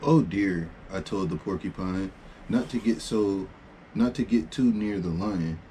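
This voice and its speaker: Low, mellow man reading aloud, noisy recording. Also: unhurried. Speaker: storyteller reading children's picture books. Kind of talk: read-aloud narration with casual asides.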